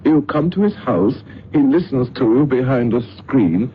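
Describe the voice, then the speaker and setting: An actor playing a courteous gentleman, formal and matter-of-fact in an old radio drama. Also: medium pitch, animated, normal speed, lightly acted. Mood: courteous, informative. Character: proper, courteous English gentleman. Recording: muffled, hissy old radio recording.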